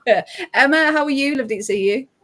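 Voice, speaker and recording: Upbeat woman podcast host, casual chat, quick to laugh. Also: high-pitched, crowd noise. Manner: upbeat, laughs often, frequent ums.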